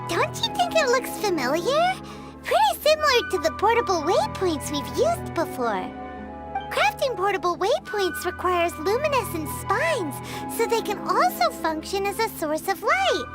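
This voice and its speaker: A woman playing a tiny, excitable, childlike fairy companion in a video game, squeaky and exclamatory. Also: measured pace, exaggerated voice. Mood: curious, earnest. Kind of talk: video game character acting. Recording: loud music under the voice.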